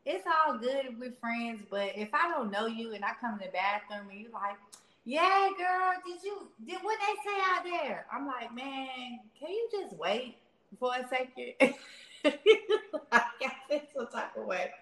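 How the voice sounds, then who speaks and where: High bright woman chatting, super lively and slangy, funny storyteller, echoey room. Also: measured pace. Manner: animated, slangy, comic storytelling. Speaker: interview guest. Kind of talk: casual chat.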